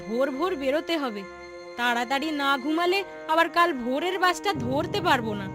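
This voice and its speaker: A woman's voice: sits high, animated, normal speed, music in the background. A woman voicing an anxious, scolding wife and mother of a poor household in a cartoon story, fretful and nagging. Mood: accepting, practical.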